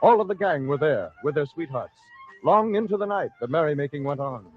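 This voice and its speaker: A man's voice: a little high, lively intonation, fairly quick. A man narrating a western story in dramatic, scripted 1940s radio style. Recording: tinny old radio recording. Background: music.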